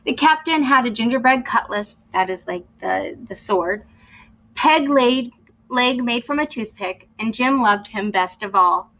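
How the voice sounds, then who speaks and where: Female storyteller for kindergarten read-aloud: expressive, explanatory, slow-paced, bright and slightly high. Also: rough recording. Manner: explains to young listeners as she reads. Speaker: teacher reading a picture book aloud.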